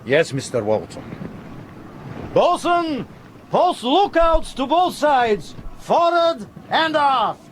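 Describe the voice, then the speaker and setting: An actor playing a dutiful, questioning ship's officer in a radio drama, formal and clipped. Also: male voice, very high-pitched, huge pitch swings, takes it slowly, a little hiss or hum, full character voice. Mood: calling orders.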